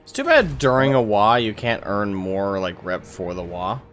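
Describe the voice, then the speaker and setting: A man's voice: on the low side, lively intonation, moderate pace, fairly bright tone. A man streaming a strategy game, chatting casually and laughing at himself. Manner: self-deprecating, laughs often. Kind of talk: casual gaming chat.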